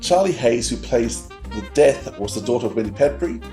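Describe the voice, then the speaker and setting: A man's voice: mid-range voice, animated, normal speed, mellow, soft background music. A man co-hosting a fan podcast, presenting facts and chatting. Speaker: podcast co-host. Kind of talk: trivia read-out and fan chat.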